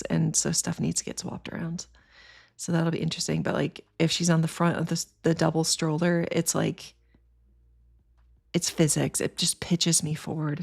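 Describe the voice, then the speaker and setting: A woman's voice: low voice, creaky. A tired woman in her thirties, sniffly and congested, chatting casually with her livestream viewers in a rambling way. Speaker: streamer.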